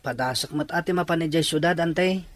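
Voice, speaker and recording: A man's voice: a little high, a little fast, dry recording, natural voice. A man playing a respectful, earnest young rural man who longs to study, pleading in an Ilocano audio drama.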